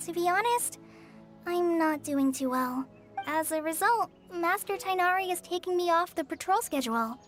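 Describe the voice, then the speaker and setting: Gentle, high-voiced young ranger girl. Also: expressive, moderate pace. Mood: downcast. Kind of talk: video game voice acting. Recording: game music under the voice.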